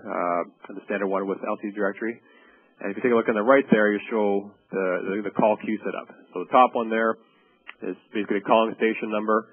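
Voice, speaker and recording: A man giving a product training presentation over slides, explaining in a loosely conversational way. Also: fairly low pitch. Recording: tinny, phone-like narrow band.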